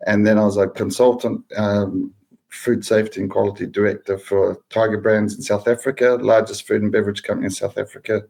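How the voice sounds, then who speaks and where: Flat-voiced New Zealand man, low pitch. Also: fairly quick, gravelly. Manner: matter-of-fact, lists things steadily. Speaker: guest, food safety professional. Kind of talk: casual interview.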